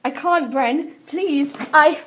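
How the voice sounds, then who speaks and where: A woman voicing a timid, tearful young man in a fan fiction reading, halting and pleading. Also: sits high, a bit bright, a little hiss or hum.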